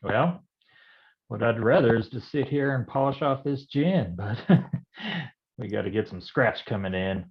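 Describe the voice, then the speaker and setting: An actor playing a world-weary, hard-drinking private detective with slangy, hardboiled delivery in a film noir staged reading. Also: male voice, medium pitch, a little slow, lo-fi sound, natural voice. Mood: gruff, fond.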